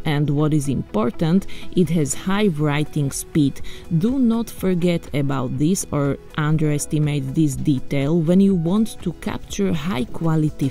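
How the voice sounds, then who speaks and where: Slovak woman doing a gadget unboxing, lowish mellow voice, jokey and chatty, music faint behind. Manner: chatty, self-mocking, playful asides. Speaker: tech vlogger. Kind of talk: casual unboxing narration.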